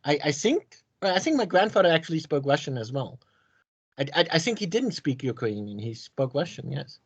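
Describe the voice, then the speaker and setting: A man chatting on a video call, halting and stammering with repeated words. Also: a little high, very animated, husky, dry recording. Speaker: conversation partner. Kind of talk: casual video call chat.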